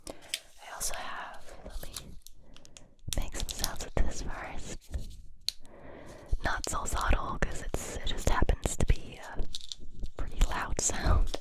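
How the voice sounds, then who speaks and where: A woman whispering close to the mic for ASMR, congested from a cold, with soft trailing phrases. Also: rough recording. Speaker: ASMR creator. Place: home room with string lights. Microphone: close binaural mic.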